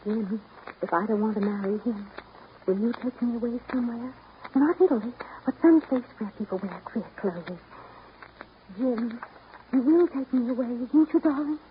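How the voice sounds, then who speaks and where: A woman's voice: fairly high pitch, normal speed, close to an everyday voice. Actress as anxious young woman, tinny old radio. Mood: pleading. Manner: intimate, imploring, emotional. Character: anxious, pleading young woman torn over marriage. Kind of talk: 1930s radio drama acting. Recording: muffled, noisy 1930s radio broadcast recording.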